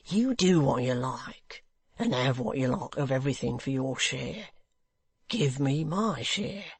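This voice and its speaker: A man voicing a sly, scowling old male hangman who speaks slowly and low, at times in a whisper. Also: slightly rough, no echo. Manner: slow, low, wheedling, sometimes whispered.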